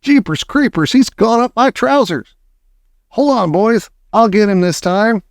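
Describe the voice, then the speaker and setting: Man performing a panicking male cook, high-pitched shrieks, frantic storybook character, dry close sound. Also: animated, measured pace, no echo. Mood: startled, determined. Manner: frantic, yelling exclamations.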